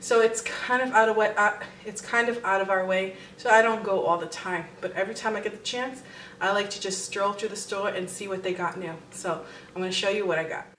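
A woman's voice: mid-range voice, fairly even intonation, fairly quick, a bit bright, slight background noise, poor audio. A woman in her thirties talking casually and straightforwardly as a vlogger, recorded indoors at home. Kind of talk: casual grocery haul vlog.

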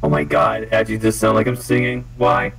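A young man chatting casually and excitably, swearing freely, his voice run through a voice changer on a headset. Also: lowish voice, brisk. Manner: excitable, giggly, blurting. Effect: voice changer with shifting presets. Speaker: video maker playing with a voice changer. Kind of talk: casual joking chat with swearing. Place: indoors at a computer.

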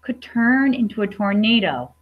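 A woman's voice: mid-range voice, very animated, normal speed, narrow, tinny audio. A young adult woman teacher reading a picture book aloud to young children, explaining simply with questions and asides. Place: classroom.

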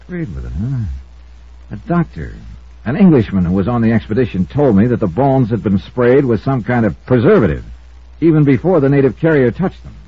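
A hard-boiled American investigator from a vintage radio serial, commanding and brisk. His voice is husky and swings expressively in pitch. The recording is muffled and old. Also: very animated, no echo. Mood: probing. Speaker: lead actor and narrator of a radio detective serial. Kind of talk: old-time American radio drama acting.

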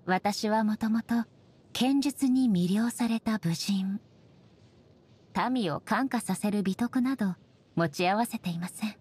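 A woman voicing a dignified, ageless shogun goddess in a game, speaking softly in formal, measured, polite phrases. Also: medium pitch, breathy edge. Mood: solemn, measured. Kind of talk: scripted game character voice lines.

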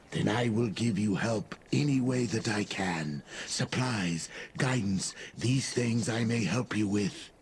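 An actor playing a grave, primal lizard-man of the marsh in a video game, speaking solemnly in halting broken English with breathy pauses. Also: low-pitched, slight background noise. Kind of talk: video game character dialogue. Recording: game dialogue over music. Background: music.

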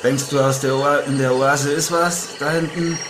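A man's voice: mid-range voice, steady tone, moderate pace, lightly acted. A man playing an eager bro who drives on a monster-hunting trip in an amateur audio play, using slang mixed with English words. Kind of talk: amateur German horror audio play, improvised dialogue. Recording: echoey with vehicle-like background noise.

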